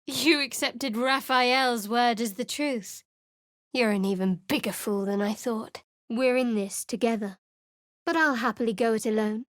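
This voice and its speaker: A woman's voice: on the high side, measured pace, dry recording, close to an everyday voice. A woman voicing a guarded young cleric in a video game, with a dry, cutting, sarcastic manner. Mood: sardonic. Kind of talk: studio video game voice acting. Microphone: close studio mic.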